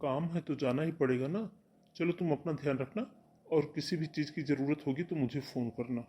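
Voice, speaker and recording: A man's voice: on the high side, a little fast, warm tone, breathy edge. A man playing a caring, busy elder brother and husband in a Hindi cartoon moral story. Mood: calm, reassuring.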